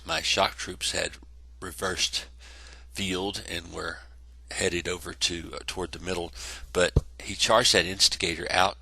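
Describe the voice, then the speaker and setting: A man's voice: sits low, creaky, bright, forceful tone, slightly rough, no room sound. A congested man casually narrating a tabletop wargame battle report, rambling with many fillers. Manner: rambling, self-correcting, lots of fillers.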